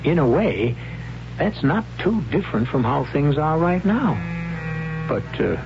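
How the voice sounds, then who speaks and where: A man narrates as host of a 1970s radio drama, wry and theatrical. His voice is creaky and moves through wide swings of pitch at a deliberate gait. Music plays behind him on a muffled old recording. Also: big pitch swings, noisy background.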